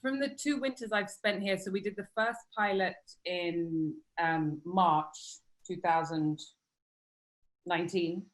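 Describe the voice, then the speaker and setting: A woman's voice: lowish voice, slow, low-quality recording. A woman from London with a British accent, chatting casually as an actor guest in a friendly way. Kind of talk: casual conversation.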